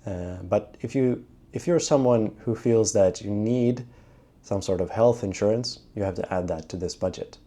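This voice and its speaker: A young man from Sweden talking casually to camera in a travel vlog, chatty and relaxed, with frequent filler sounds. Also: fairly low pitch, moderate pace. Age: young adult. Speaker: travel vlogger.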